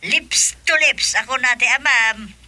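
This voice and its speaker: A woman's voice: fairly high pitch, a little slow, bright, forceful tone, no echo. A woman playing a chatty, teasing middle-aged mother in a radio comedy drama.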